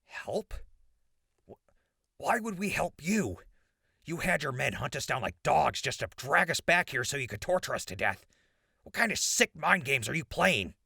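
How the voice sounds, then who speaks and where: An actor playing a bewildered, indignant wasteland captive in an audio drama, stammering and exasperated. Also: male voice, mid-range voice, big pitch swings, moderate pace, gravelly, pushed and bright, husky, no echo, clean studio sound, full character voice. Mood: indignant, suspicious. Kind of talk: post-apocalyptic audio drama acting.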